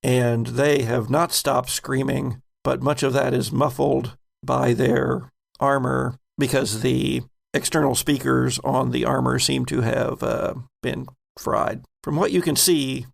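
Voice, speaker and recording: Guy game master, really creaky gravelly voice, narrating on the fly, average pitch. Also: steady tone, slow. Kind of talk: improvised narration of a tabletop game.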